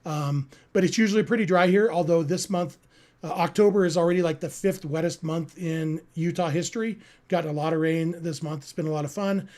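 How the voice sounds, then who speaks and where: A man's voice: on the high side, steady tone, a little fast, darkish tone. An American man from California hosting a sports podcast, chatting casually and earnestly as he answers listeners. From California, United States.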